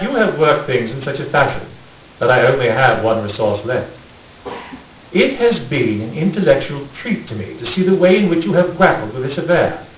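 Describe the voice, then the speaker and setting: A man acting a menacing, courteous criminal mastermind in radio theater, speaking in a formal, deliberate, coldly polite way. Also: medium pitch, close to an everyday voice. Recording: tinny, hissy, roomy, distant microphone.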